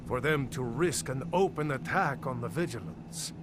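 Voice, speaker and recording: A man's voice: mid-range voice, moderate pace, noisy background, lightly acted. Man acting a solemn, vengeful holy warrior. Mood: solemn, resolute. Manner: solemn, earnest. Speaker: video game character. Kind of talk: fantasy game voice acting. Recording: loud music behind the voice. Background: loud game music.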